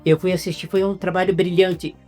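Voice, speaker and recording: A Brazilian man with a Brazilian Portuguese accent, hosting an interview in a casual, halting way with many restarts. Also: sits high, level tone, a little mellow, faint music behind. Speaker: interviewer host.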